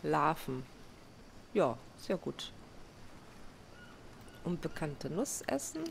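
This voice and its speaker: A woman's voice: low voice, big pitch swings, drawn-out words, vocal fry, heavy background noise. A woman chatting casually to herself while she plays and crafts in a survival game, often poking fun at herself. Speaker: gaming video host. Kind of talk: casual let's play commentary.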